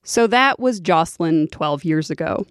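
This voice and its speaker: A woman's voice: low-pitched, lively intonation, unhurried, faint music behind. A woman hosting a radio programme, reading scripted narration. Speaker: radio host.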